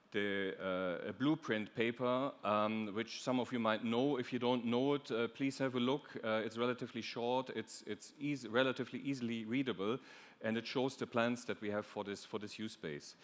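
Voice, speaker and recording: Man presenting in a reverberant hall. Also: mid-range voice. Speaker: European Commission official, panelist. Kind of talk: conference presentation. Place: large conference stage. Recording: echoey hall.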